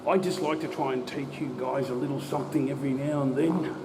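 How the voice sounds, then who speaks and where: A man's voice: medium pitch, brisk. Laid-back man in an echoey drain. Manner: rambling, laid-back, swears freely. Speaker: drain explorer host. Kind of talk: casual walkthrough narration. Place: underground stormwater drain tunnel. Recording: echoey tunnel with steady noise.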